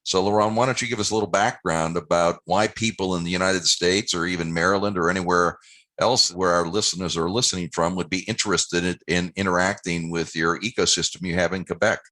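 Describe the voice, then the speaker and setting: A man's voice: sits low, fairly even intonation, a little fast, sharp tone. A man hosting a podcast interview, asking questions in a friendly, encouraging way. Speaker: podcast host.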